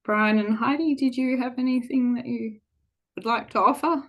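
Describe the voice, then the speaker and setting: A woman co-hosting an online webinar over a video call, her voice a little croaky from a head cold, speaking informally with frequent ums. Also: on the high side, normal speed. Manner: warm, frequent ums, relaxed informal phrasing. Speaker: webinar co-host.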